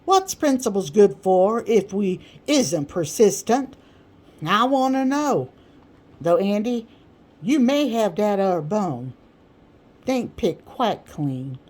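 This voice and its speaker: A woman's voice: medium pitch, very animated, takes it slowly, darkish tone. A woman voicing a pompous, boastful man who holds forth in folksy dialect with grandiloquent emphasis, in an audiobook reading. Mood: self-important. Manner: grandiloquent, emphatic, folksy dialect speech with mangled big words. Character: pompous, boastful male orator holding forth to admirers.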